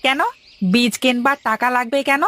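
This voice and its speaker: A woman's voice: on the high side, fairly even intonation, normal speed, sharp tone, no echo. A woman voicing a poor, naive young male farmer in a cartoon fairytale, earnest and dreamy. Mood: dreamy, hopeful. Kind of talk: animated moral fairytale dialogue. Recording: faint music behind the voice.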